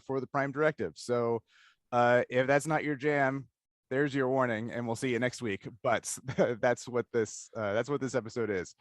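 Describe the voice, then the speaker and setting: A man hosting a casual interview, speaking in a halting, self-correcting way. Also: medium pitch, no room sound, clean studio sound. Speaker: podcast host and interviewer. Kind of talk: casual interview intro and chat.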